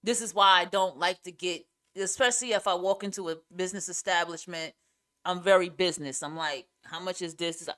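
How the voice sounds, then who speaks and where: A woman podcaster with a raspy voice that carries and a clear New York accent. She talks off the cuff in a casual monologue, blunt and opinionated, leaning hard on her points and swearing freely. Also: lowish voice, fairly even intonation, a little fast, bright, forceful tone. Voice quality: raspy, carrying voice. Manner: blunt, emphatic, profane. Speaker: podcast host.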